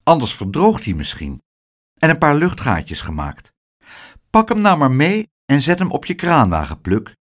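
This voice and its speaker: A kindly gentleman from a children's storybook, as a male reader plays him. He speaks in a fairly high, animated voice. His manner is brisk, encouraging and reassuring. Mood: urging.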